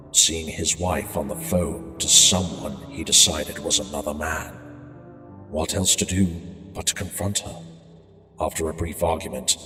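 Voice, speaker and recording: A man playing an unhinged murderer narrating his haunted tale in a brooding, halting, theatrical horror monologue. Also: low-pitched. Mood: grim, haunted. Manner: brooding, halting, slow, theatrical prose delivery. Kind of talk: dark dramatic horror monologue. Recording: echoey reverberant hall sound, music behind.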